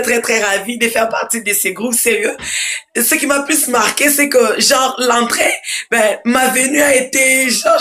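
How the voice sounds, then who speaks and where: Woman, hoarse with a cold, bright voice; chatty, effusive phone voice note. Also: on the high side. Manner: gushing, repeats words, many fillers. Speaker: group member. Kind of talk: casual voice message.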